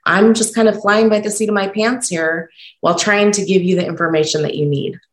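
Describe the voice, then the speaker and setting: A woman hosting a solo podcast, talking unscripted and encouraging while stumbling over her words, her voice croaky from being sick. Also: mid-range voice, fairly even intonation, a little fast, echoey room. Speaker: podcast host.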